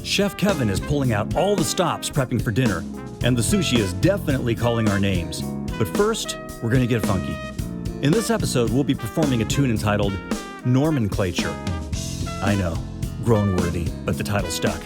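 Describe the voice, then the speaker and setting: Man, show host, scripted intro, lively pitch, playful announcer delivery, loud band music behind. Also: brisk. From Japan. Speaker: host and bassist. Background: funky band music.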